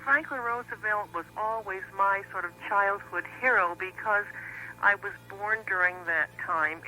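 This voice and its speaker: A woman calling in to a radio show over the telephone, speaking earnestly and admiringly, with pauses and fillers. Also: mid-range voice, bright, forceful tone, slight background noise, low-quality recording. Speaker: caller. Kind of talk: call-in radio conversation.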